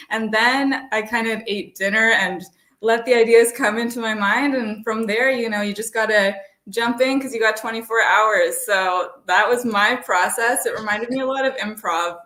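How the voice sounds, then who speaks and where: A woman's voice: medium pitch, sharp tone. A woman, chatting informally as a guest playwright being interviewed on a call. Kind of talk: casual conversational interview answers. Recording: echoey room on a call.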